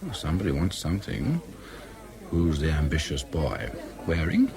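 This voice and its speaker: An actor playing a shrewd, needling political insider with a British accent, probing slyly in a clubby manner. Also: male voice, very deep voice, very animated, moderate pace, music in the background, heavily acted. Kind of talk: radio drama dialogue.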